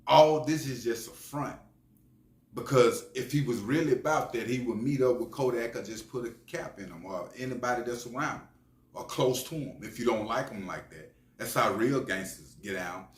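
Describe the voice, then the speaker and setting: A man's voice: medium pitch, moderate pace. A man giving opinionated, preachy street-slang commentary as a channel host, speaking emphatically. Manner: preachy, emphatic, often tagging phrases with slang address. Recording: echoey room sound.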